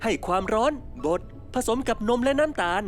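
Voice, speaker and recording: A woman's voice: fairly high pitch, animated, moderate pace, natural voice. A woman voicing a cheerful, curious young male cartoon explorer in a dub, polite and upbeat. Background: cartoon music.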